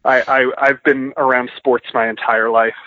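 A man's voice: mid-range voice, little pitch movement, moderate pace. A young man from outside Atlanta with a mixed Northern and Southern US accent, answering interview questions as a sports writer with wry, self-deprecating asides. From outside Atlanta, Georgia. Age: young adult. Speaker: sports beat writer, guest. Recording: tinny phone line.

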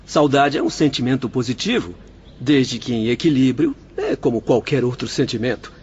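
An actor playing a young spirit doctor in a radio drama, explaining things formally and patiently. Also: male voice, medium pitch, big pitch swings, normal speed, a little mellow, husky, dull audio. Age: young adult. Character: calm, instructive young spirit doctor and hospital director.

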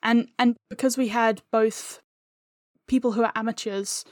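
A woman's voice: on the high side, steady tone, unhurried, no echo, clean studio sound. A woman explaining her creative process earnestly and conversationally, as a guest in an interview. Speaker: podcast guest, audio drama writer.

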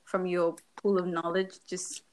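Host: young woman, effusive, lowish voice, slight background noise. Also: level tone, measured pace. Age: young adult. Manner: enthusiastic, effusive. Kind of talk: interview.

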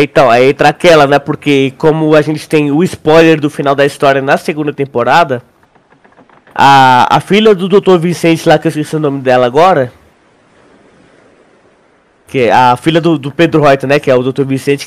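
A Brazilian man with a higher-pitched voice talks as a podcast co-host. His style is casual and full of slang, and he rambles as he works through his theories. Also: fairly quick. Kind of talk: casual chat, theorizing about a series.

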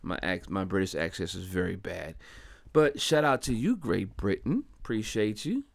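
A man from Delaware hosting his own podcast, talking in a casual, upbeat, chatty way and giving slangy shout-outs to listeners. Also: deep voice, expressive, normal speed, gravelly, no echo. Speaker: podcast host. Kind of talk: casual solo podcast monologue.

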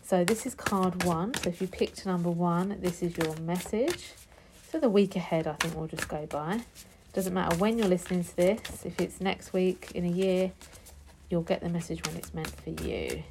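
Stuffy-nosed chatty woman, low and husky. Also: dark tone. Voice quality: nasal. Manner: chatty, affectionate, calls listeners love and darling; drops to a whisper at times. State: stuffy, blocked nose. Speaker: podcast host giving tarot readings. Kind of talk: casual unedited podcast chat. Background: card shuffling.

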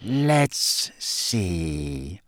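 A man's voice: mid-range voice, big pitch swings, drawn-out words, no echo, clean studio sound, full character voice. A man voicing a cold, menacing male potions teacher with a silky, curt, sneering delivery, as in an audiobook. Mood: silky, spiteful.

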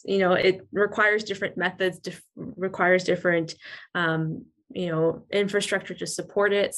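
Low-voiced woman, chatty and thoughtful. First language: English. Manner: thoughtful, many ums and you-knows. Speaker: podcast co-host. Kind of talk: casual interview chat.

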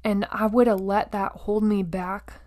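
A woman hosting a motivational monologue, speaking directly to the listener with emphatic phrasing, deliberate pauses, repetition and rhetorical check-ins. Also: mid-range voice, level tone, darkish tone. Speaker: podcast host.

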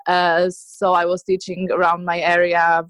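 A woman's voice: on the low side, completely flat delivery, unhurried, fairly bright tone, dry recording. A woman in her thirties from Slovakia, answering interview questions about her dance group in a chatty way, with many fillers and restarts. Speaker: guest, dance teacher.

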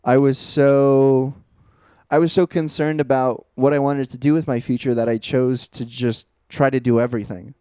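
A young man in his twenties talks through his thoughts in an earnest, reflective way. His voice is dark and smooth, and it sounds dry, with no room echo. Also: mid-range voice, steady tone, normal speed. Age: 20s. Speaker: podcast co-host. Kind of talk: casual chat.

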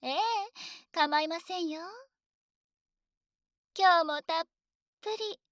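A woman playing a doting, domineering dragon maid in an ASMR voice drama, speaking politely with gentle teasing, close to the microphone. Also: extremely high pitch, slow, no room sound, exaggerated voice. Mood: teasing, confident. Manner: polite servile speech, gently teasing and coddling. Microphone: close microphone, dry.

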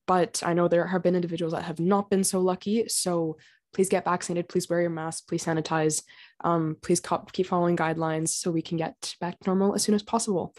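Young woman chatting on her podcast, voice a bit raspy from being sick, kinda low, earnest. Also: little pitch movement, a little fast, a little mellow. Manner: chatty and earnest, with fillers and small laughs. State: slightly raspy throat from a cold. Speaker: podcast host. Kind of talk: casual solo podcast talk. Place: home.

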